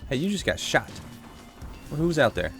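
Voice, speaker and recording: A man giving casual gameplay commentary while playing a shooter, with a congested throat he keeps clearing, chatting to viewers and reacting aloud. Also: a little high, big pitch swings, moderate pace, music in the background. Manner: chatty, reacts aloud to the game, answers chat. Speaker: gaming YouTuber.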